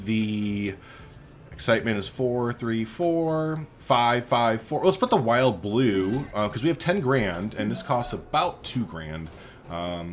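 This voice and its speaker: A man giving casual, chatty gameplay commentary as a let's play host, thinking aloud informally as he goes. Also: on the low side.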